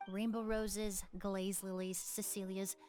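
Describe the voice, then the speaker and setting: A woman's voice: mid-range voice, little pitch movement, takes it slowly, warm tone, lightly acted. An actress playing a composed, articulate young female perfumer in a video game, precise and polite. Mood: analytical. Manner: poised, precise, explanatory. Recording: music playing behind.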